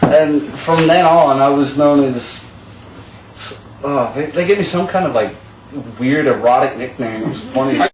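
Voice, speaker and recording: A man's voice: medium pitch, a little slow, darkish tone. A young adult man telling rambling, jokey stories casually among friends. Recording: echoey, noisy room, far from mic.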